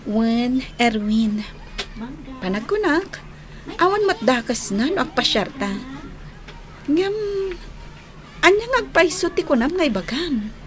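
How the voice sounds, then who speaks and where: An actress with an Ilocano Filipino accent playing a sweet, frank, lovelorn young college student in a radio drama. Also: female voice, high voice, sing-song, slow, pauses often, exaggerated voice. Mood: gently firm.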